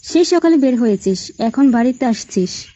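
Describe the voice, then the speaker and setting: A woman's voice: on the high side, expressive, moderate pace, warm tone, lo-fi sound, a little hiss or hum, lightly acted. A woman playing a bossy, scolding middle-aged mother of a grown son in an audio story.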